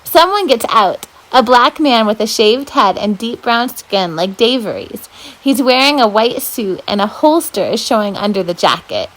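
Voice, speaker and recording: A woman reading aloud as a volunteer storyteller, with an expressive, dramatic delivery. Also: a little high, moderate pace, poor audio. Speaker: volunteer children's book reader.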